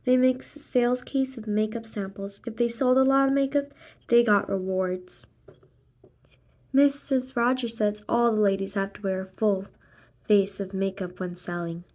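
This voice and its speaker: A woman reading a children's graphic novel aloud expressively, acting out the dialogue and sound words in a deliberate way. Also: medium pitch, fairly even intonation, a little mellow. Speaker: read-aloud narrator.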